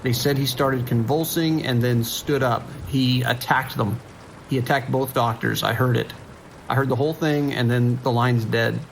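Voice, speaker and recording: A man acts out an anxious male police dispatcher for an audio drama. His gravelly voice sits at a middling pitch, giving clipped, urgent radio instructions and hesitating when shaken. Faint rain and ambience run behind him. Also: steady tone, moderate pace, slight background noise, natural voice, low-quality recording.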